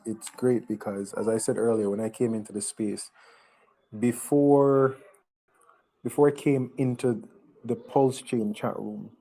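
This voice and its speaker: Man, mellow dark tone, reflective, advice-giving, casual voice chat. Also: on the low side, rough recording. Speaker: crypto chat participant.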